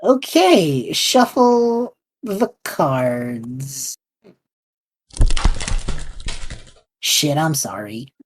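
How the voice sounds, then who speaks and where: A woman's voice: sits low, animated, extremely slow, a little hiss or hum, heavily acted. A woman playing a nervous, hesitant young man who is out of his depth. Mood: unsure. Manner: unsure, flustered, hesitant. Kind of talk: fan audio drama acting.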